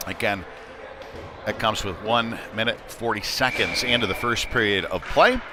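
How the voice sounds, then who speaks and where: A man's voice: lowish voice, normal speed. A man doing live play-by-play of a youth hockey game in a conversational, somewhat hesitant and unpolished way. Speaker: play-by-play sports commentator. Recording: noisy live rink ambience. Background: ice rink game noise.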